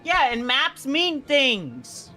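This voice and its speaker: A woman with a high, sharp voice bantering as a co-player on a game stream. She argues stubbornly, repeating herself and swearing, with very animated pitch. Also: very slow, soft background music. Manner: stubborn, argumentative, repetitive, sweary. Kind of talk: casual gaming banter.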